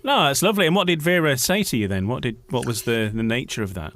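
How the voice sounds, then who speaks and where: A man's voice: medium pitch, fast, a bit bright. Stammering, laughing radio host man. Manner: stammering restarts, laughs along with guest. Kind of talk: casual radio interview.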